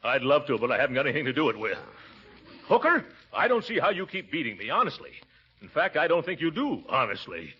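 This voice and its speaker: A man's voice: on the high side, sing-song, fast talker, a little hiss or hum. An actor playing a blustering, pompous middle-aged uncle in a 1940s radio comedy, chuckling as he goes. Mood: blustering, needling. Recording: muffled 1940s radio recording.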